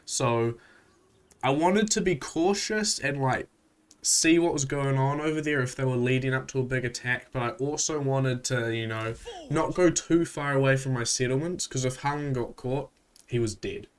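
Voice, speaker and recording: A man with a stuffy voice from a cold, hosting a casual, relaxed recap of a strategy game with frequent fillers. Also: medium pitch, normal speed, smooth. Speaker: gaming channel host.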